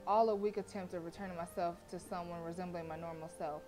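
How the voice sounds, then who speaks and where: A woman game streamer reading story narration aloud in an expressive, dramatic way, with casual delivery between passages. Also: on the low side, fast talker. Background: game music.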